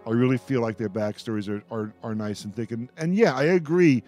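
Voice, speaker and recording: A man hosting a radio roundtable, talking in a casual, rambling fan-discussion style and often halting to repeat his words. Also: medium pitch, a little mellow, faint music behind.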